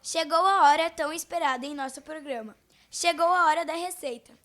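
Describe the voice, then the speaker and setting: A woman's voice: sits high, brisk, fairly bright tone. A girl of about twelve with a Brazilian accent reading a scripted recipe segment on old-time radio. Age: child, about twelve. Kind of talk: scripted 1940s-style radio presenting.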